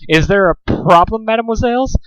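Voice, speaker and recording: A man's voice: sits high, a little fast, muffled recording, slight background noise, pitched above the performer's own voice, lightly acted. A man voicing a polite, formal waiter with a put-on French accent in a story reading. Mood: courteous.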